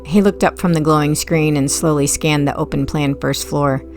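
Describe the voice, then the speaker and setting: A woman's voice: sits low, steady tone, normal speed, a little mellow, faint music behind. A woman reading first-person fiction as an audiobook narrator, in a wry, conversational way.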